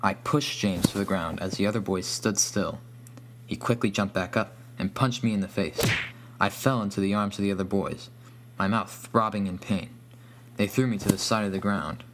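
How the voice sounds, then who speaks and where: A man's voice: fairly low pitch, steady tone, moderate pace, background noise. A man reading a scripted first-person horror story as its narrator.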